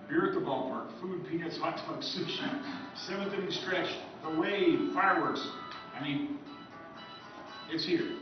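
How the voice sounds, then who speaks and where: Male presenter, informal and halting talk, distant mic, heavy room echo. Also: fairly high pitch, over music. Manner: halting and conversational, with asides. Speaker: speaker giving a convention presentation. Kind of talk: informal lecture to a live audience. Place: convention meeting room with live audience. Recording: echoey, noisy, dull room recording from far off.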